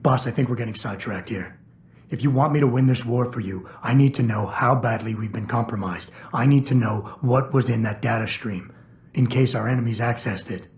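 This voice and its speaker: An actor playing a stoic, probing ex-cop security chief in a game, with a hushed gravelly voice, terse and deadpan. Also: male voice, medium pitch, a little fast, natural voice. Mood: guarded, insistent. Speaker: video game protagonist. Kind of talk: video game cutscene acting. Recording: steady background ambience noise.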